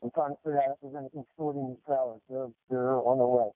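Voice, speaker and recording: A man's voice: medium pitch, fairly even intonation, fast, dry recording. A man working as a firefighter, talking in emergency radio traffic over a two-way radio. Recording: tinny narrowband radio transmission.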